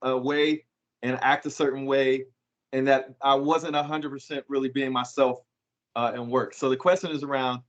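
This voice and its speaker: A man's voice: medium pitch, lo-fi sound. A middle-aged man moderating a live online panel, upbeat and informal, with casual asides as he passes questions to the panelists. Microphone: video call.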